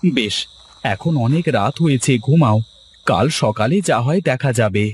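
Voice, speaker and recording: A man's voice: medium pitch, animated, moderate pace, slight background noise, natural voice. A man voicing a poor village fisherman and husband in a cartoon story. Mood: weary, resigned. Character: poor village fisherman husband, humble, worried, later cocky. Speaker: cartoon character voice. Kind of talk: Bengali cartoon story dialogue.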